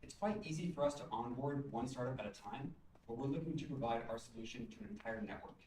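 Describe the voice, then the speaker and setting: A young man presents a prepared pitch as a student founder. He speaks in a presentational style, picked up at some distance in an echoey room. Faint noise sits behind him. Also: expressive, fast, a little mellow. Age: young adult.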